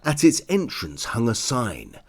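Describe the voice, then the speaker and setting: A man narrating a rhyming children's picture book in a theatrical, deliberate way. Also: mid-range voice, wildly swooping pitch, a little mellow, husky. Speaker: storybook narrator. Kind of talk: rhyming children's story read-aloud.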